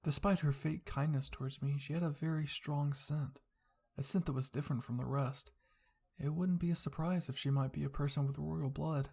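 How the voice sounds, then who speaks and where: A narrator reading a scripted fan fiction story in a husky, creaky voice, in the style of ASMR roleplay. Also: medium pitch, steady tone, normal speed, a little mellow.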